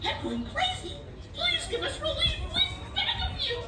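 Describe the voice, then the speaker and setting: Very high-pitched, frazzled village wife. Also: female voice, sing-song, normal speed, heavy background noise. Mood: desperate pleading. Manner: theatrical, pleading, exclaiming. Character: exasperated, melodramatic village wife and mother. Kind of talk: children's puppet show acting. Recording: echoey, distant stage recording.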